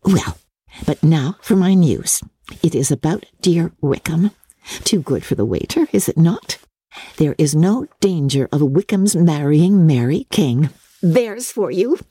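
A woman's voice: on the low side, animated, unhurried, dark tone, husky, no room sound, studio quality, voice pushed up. Woman voicing a giddy teenage girl, swooping and gushing. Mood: gossipy, triumphant. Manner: gushing, exclamatory, chattering.

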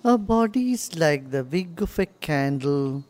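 A woman guides a meditation at a very slow, unhurried pace. Her voice is low and mellow, and she gives each instruction gently and soothingly. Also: expressive.

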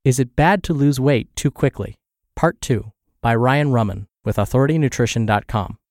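A man's voice: mid-range voice, moderate pace, a little mellow, no room sound, clean studio sound. A man hosting a podcast and reading a health blog aloud, sounding congested and scratchy from the flu. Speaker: podcast host and narrator.

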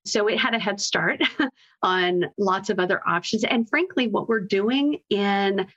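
A woman's voice: medium pitch, unhurried, fairly bright tone, highs cut off. A woman speaking conversationally as an executive guest in an interview.

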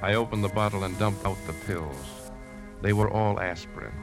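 A grave, troubled leading man in a 1950s radio drama. His low voice is measured and earnest, with a brooding air. Music plays clearly behind him on the old broadcast. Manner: brooding first-person narration and measured, earnest dialogue. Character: grave, troubled man narrating his plan to trap a poisoner.

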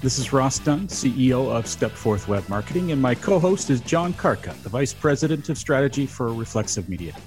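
A man's voice: medium pitch, very animated, a little fast, hardly any pauses, darkish tone, soft background music. A Canadian man hosting a radio show, chatting casually and laughing often. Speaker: podcast host.

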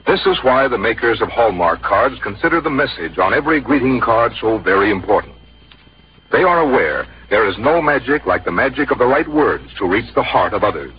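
Male American announcer, vintage radio, polished and earnest, lively intonation, slightly husky, muffled broadcast. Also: medium pitch, brisk. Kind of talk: 1950s radio scripted sponsor announcement.

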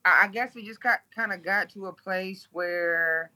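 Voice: medium pitch, a little slow, sharp tone. A guest from Toledo, Ohio, speaking bluntly and candidly in an interview, swearing freely.

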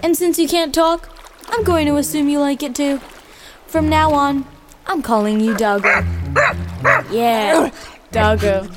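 A plucky young girl speaks in a high, unhurried voice. She is earnest, chatty and expressive, in the manner of an adventure audio drama. Loud music runs underneath her. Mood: playful coaxing. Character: plucky young girl adrift on a raft with a dog.

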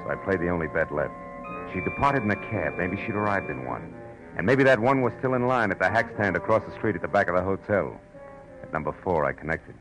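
A hard-boiled American private eye in an old radio drama. His voice is low and his delivery is sardonic and world-weary, with prominent music in the broadcast. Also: big pitch swings, a little fast, low-quality recording. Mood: tense narration. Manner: wisecracking, sardonic. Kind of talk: 1940s American radio detective drama acting and narration.

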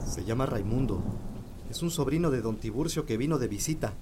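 A man's voice: mid-range voice, animated, fairly quick, mellow, slight background noise, lightly acted. An actor playing a village husband who talks to his wife and neighbors in a firm, strained way. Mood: calm.

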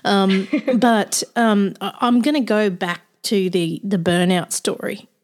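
A woman hosting a podcast, reading a measured introduction and then chatting with her guest. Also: mid-range voice, fairly even intonation. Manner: warm, measured intro; loose chat with fillers. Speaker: podcast host. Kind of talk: scripted intro, then interview chat.

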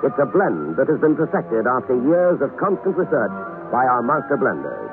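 A man's voice: mid-range voice, huge pitch swings, normal speed, music in the background. A man reading as an announcer in a 1960s radio advertisement. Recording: muffled old radio recording.

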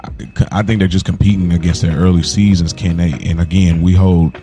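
A man's voice: low voice, little pitch movement, fairly quick, dark tone. An American man co-hosting a podcast, chatting casually about TV in slangy, opinionated, conversational language. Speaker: podcast host. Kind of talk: casual TV review chat.

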